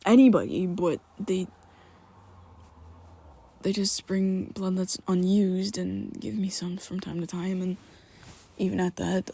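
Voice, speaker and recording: A guilty, tender young vampire confides in his best friend, as a man acts him out in an ASMR roleplay. His voice is a husky, gravelly near-whisper, pitched low and paced slowly with stumbling restarts. It sits close and dry, with no room around it. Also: no echo, full character voice. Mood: confessing. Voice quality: soft breathy half-whisper. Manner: hesitant, stumbling restarts, pleading.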